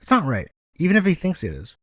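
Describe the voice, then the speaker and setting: A man's voice: a little high, wildly swooping pitch, quick pace, no echo, clean studio sound, voice pushed up. A man voicing a kind, eager young boy in a story, speaking politely and earnestly. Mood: earnest, pleading.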